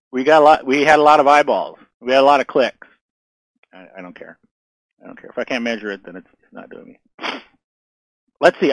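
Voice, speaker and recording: A man's voice: medium pitch, a bit bright, no room sound, low-quality recording. A man giving a casual online marketing webinar, answering questions, losing his voice and coughing now and then, with lots of fillers and repeated words. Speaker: webinar presenter.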